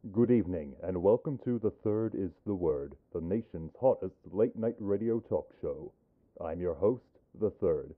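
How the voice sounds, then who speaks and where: A man voicing a menacing adult male serial killer with a deadpan, sinister delivery. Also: low voice, moderate pace, narrow, tinny audio. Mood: smooth host.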